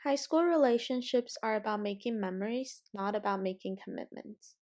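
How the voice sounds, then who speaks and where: A teenage girl hosting a student panel, reading out introductions and prompts in an even, scripted way over a video call. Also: mid-range voice, normal speed. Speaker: host.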